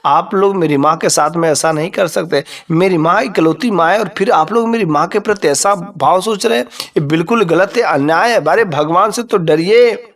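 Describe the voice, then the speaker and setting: A man's voice: sits high, normal speed, fairly bright tone, recorded from a distance. A man voicing a frail, devoted, God-fearing youngest son in a told moral story, protesting and pleading.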